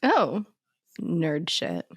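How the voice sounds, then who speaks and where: A woman's voice: low voice, wildly swooping pitch, extremely slow, slightly rough. A woman co-hosting a podcast in casual comedic chat. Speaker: podcast co-host.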